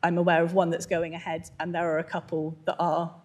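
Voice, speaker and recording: A slightly croaky woman giving a measured formal statement as a police licensing officer at a council hearing, with frequent ums. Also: on the low side, monotone, darkish tone. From England. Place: council meeting, remote or in chamber.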